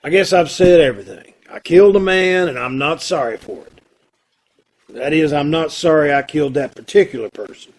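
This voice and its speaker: Stoic condemned prisoner, slightly high-pitched man acting. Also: moderate pace, lightly acted. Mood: firm, unrepentant. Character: stubborn, unrepentant condemned murderer, proud and fatalistic. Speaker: amateur radio-troupe actor. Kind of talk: old-time radio play acting.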